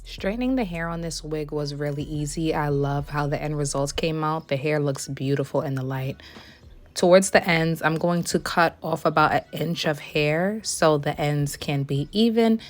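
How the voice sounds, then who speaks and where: A young woman with a slightly hoarse voice, still recovering, walking through a wig review and install step by step. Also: low-pitched, normal speed. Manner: step-by-step explaining, relaxed. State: hoarse, recovering from losing her voice. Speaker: beauty vlogger reviewing a wig. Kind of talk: product review voice-over.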